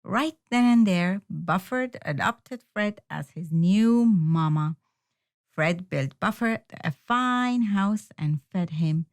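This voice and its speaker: A woman reading a children's picture book aloud in an expressive, deliberate storytelling manner. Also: lowish voice.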